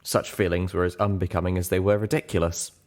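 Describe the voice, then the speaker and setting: A man's voice: low-pitched, little pitch movement, quick pace, a little mellow. A man reading a first-person story aloud as an audiobook narrator, in scripted narration.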